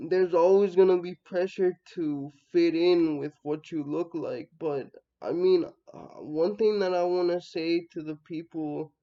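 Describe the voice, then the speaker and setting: A young man from the United States giving a reflective personal monologue, halting and thinking aloud, often trailing off. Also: on the high side, highs cut off. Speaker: vlogger.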